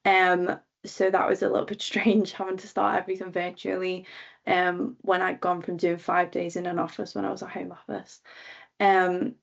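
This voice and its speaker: Scouse young woman, gravelly flat talk. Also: fairly low pitch, normal speed, dull audio. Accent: Liverpool. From Liverpool, England. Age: 20s. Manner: chatty, many ums and likes. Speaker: careers talk speaker, government analyst. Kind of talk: casual career talk.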